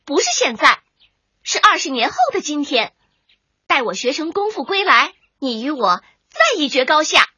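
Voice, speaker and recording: A woman's voice: sits high, very animated, moderate pace, sharp tone, no room sound, highs cut off, full character voice. A woman playing a proud, defiant young martial artist daughter in a radio drama, with a challenging, clipped and emphatic delivery.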